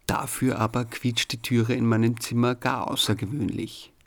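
Austrian man narrating, medium-pitched voice. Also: flat delivery, normal speed, creaky, a bit bright. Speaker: radio show host. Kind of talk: scripted radio narration.

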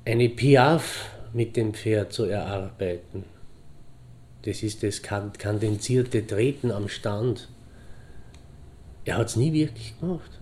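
Actor as a slow, low-voiced horseman. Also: darkish tone, slight background noise. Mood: wistful, matter-of-fact. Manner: deliberate, halting phrases. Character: pensive divorced hobby horseman fondly remembering a friend. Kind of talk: reflective anecdotal monologue in an audio drama.

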